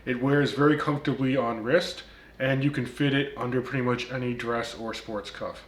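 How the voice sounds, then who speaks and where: A man with a light Turkish accent talks in a casual vlog and fills his sentences with plenty of ums and uhs. His pitch sits in an ordinary middle range, and he fires back at critics with wry one-liners. The room around him rings with a noticeable echo. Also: fairly even intonation, normal speed. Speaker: watch reviewer, channel host.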